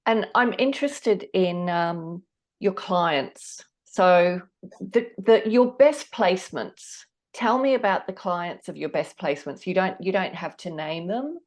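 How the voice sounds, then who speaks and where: A woman's voice: fairly low pitch, measured pace. A woman hosting a podcast, asking curious, encouraging questions. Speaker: podcast host. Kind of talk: interviewing.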